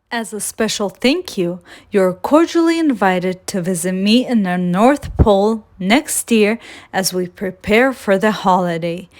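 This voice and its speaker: A kindly old Father Christmas figure from a children's storybook , acted by a woman. The delivery is slow, gracious and measured, full of thanks. The pitch is medium. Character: kindly old man Santa Claus writing warm thanks.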